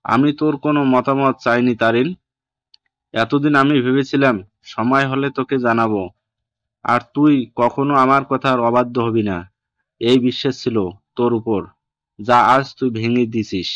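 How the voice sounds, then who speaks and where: A man playing a strict middle-aged father in an audio story, speaking in a firm, measured, unyielding way. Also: mid-range voice, steady tone, fairly bright tone, no echo, highs cut off, close to an everyday voice. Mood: stern, disappointed. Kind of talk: Bengali audio story acting.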